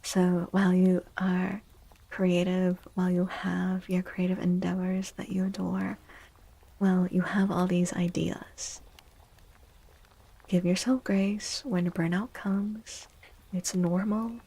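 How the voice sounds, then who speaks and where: Female ASMR streamer: slow, gentle, breathy half-whisper with vocal fry, soothing and affectionate. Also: on the low side, little pitch movement, low-quality recording. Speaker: livestream host doing tarot readings. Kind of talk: soft-spoken ASMR livestream chat.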